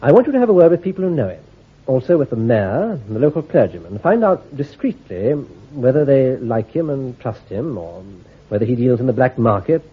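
An actor playing a shrewd army intelligence commander in a radio drama, issuing careful orders in a clipped, authoritative way. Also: male voice, medium pitch, very animated, brisk, husky. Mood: commanding. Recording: tinny, phone-like old recording.

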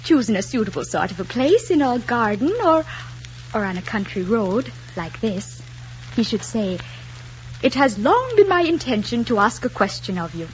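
A woman's voice: on the high side, very animated, moderate pace. An actress playing a spirited young Irish woman in love, with a lilting Irish accent and coquettish phrasing, in an old romantic drama. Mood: playful, coy. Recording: dull, noisy old film soundtrack.

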